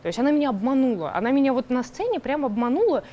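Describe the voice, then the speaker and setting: A woman's voice: high voice, sing-song, rapid-fire, lo-fi sound. A twenty-four-year-old woman from Kazakhstan, a drag performer, telling an anecdote animatedly in a documentary interview.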